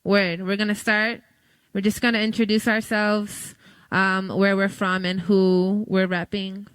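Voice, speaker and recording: A woman's voice: mid-range voice, little pitch movement, a little slow, a bit bright, clean tone, dry recording. A woman leading a youth session, casually directing a crowd at a conference. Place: large conference hall.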